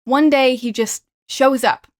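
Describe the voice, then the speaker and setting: A woman's voice: sits high, steady tone, takes it slowly. An actress playing a chatty, sardonic woman in her late twenties in an audio drama. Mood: puzzled, probing. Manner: wry, chatty.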